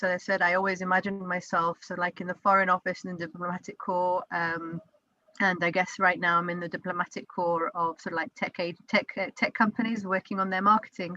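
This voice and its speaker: A woman's voice: fairly low pitch, bright, forceful tone, no room sound, dull audio. A woman in her forties with a British accent, giving a chatty informal talk to an online networking group, full of fillers and restarts. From Israel. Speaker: company co-founder presenting to a networking group. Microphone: video call.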